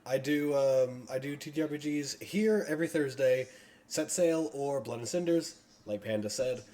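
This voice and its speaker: A man hosting and narrating a tabletop game as game master, playful and excitable, in a casual style. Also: mid-range voice, moderate pace. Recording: echoey room.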